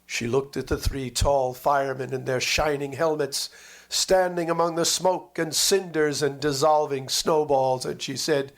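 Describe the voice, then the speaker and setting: A man narrating a literary memoir expressively, with measured, dramatic phrasing and lingering words. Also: mid-range voice, fairly even intonation.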